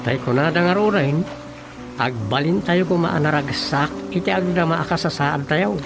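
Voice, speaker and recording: A performer playing a calm, patient adult child advising a worried father, with an Ilocano Filipino accent, in a radio drama comedy. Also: mid-range voice, moderate pace, gravelly, over music, close to an everyday voice. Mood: reassuring.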